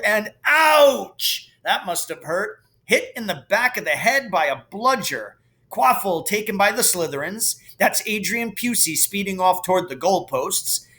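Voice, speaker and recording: A man voicing an excitable young male sports commentator calling a storybook match in breathless exclamations. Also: high-pitched, voice pushed up, exaggerated voice. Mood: keen.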